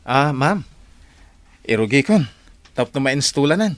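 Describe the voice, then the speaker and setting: A courteous young technician in an Ilocano radio drama. He has a medium-pitched voice and an apologetic, deferential manner. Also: big pitch swings, measured pace, no room sound. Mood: polite.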